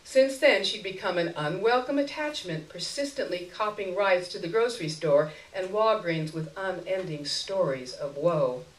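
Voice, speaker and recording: A woman reading literary fiction aloud at a live event, expressively and with wry, deliberate phrasing. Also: fairly low pitch. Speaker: story reader. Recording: echoey room, miked from a distance.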